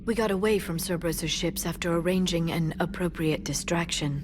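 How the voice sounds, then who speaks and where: Composed alien scholar, game role, actress: lowish voice, articulate, earnest, audible ambient noise. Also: female voice, moderate pace, natural voice. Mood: reflective, measured. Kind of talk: video game character dialogue. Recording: clear ambient noise behind game dialogue.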